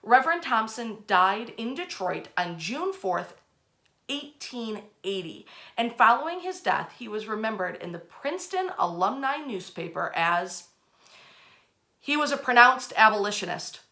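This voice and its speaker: A woman's voice: fairly high pitch, sing-song, unhurried, pushed and bright. A woman hosting a history storytelling session, speaking conversationally and repeating phrases for emphasis. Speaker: host, storyteller.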